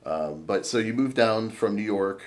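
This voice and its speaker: Deep-voiced upbeat male podcast host. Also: animated, a little mellow. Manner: upbeat, playful, asks questions in quick restarts. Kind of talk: casual interview chat.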